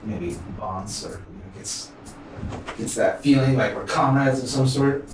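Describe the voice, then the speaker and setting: A man's voice: mid-range voice, unhurried. A man playing a tabletop game, casually talking through what his character says and does. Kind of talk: casual improvised role-play. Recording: very echoey, distant mic, noisy.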